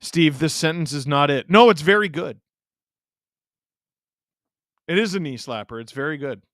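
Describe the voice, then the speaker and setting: A man's voice: a little high, animated, normal speed, a bit bright, dry recording, clean studio sound. A man in his thirties from Canada hosting a live hockey watch-along, joking with chat and reacting to plays. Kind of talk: casual live-stream commentary.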